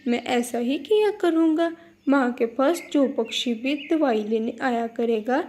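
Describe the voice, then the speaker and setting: Woman playing a high-voiced sly little boy sparrow. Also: warm tone. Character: mischievous young boy sparrow, sly and wheedling. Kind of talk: children's cartoon story acting.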